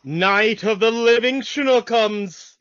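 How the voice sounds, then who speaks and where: A man's voice: sits high, slow, a bit bright, no room sound, highs cut off. A man co-hosting a podcast, talking through cartoons in a casual conversational style. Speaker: podcast co-host.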